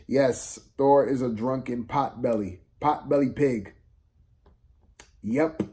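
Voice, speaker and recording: A man giving a casual, unscripted film review rant, exasperated and slangy, repeating himself for emphasis in a halting way. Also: medium pitch, mellow.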